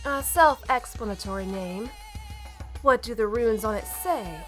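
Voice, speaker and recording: Woman as a high-voiced sassy treasure-hunting bat. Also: measured pace, faint music behind, close to an everyday voice. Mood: sassy, sceptical. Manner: sassy, sceptical. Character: sassy, self-assured female bat treasure hunter. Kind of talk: fan audio drama acting.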